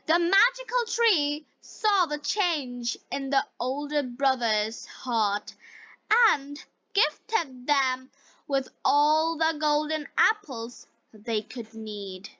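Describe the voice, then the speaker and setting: A woman with a bright, high voice delivers scripted narration for English learners. She goes slowly, in the manner of a teacher. The recording is dry and somewhat lo-fi. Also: expressive, dry recording. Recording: dull lo-fi recording.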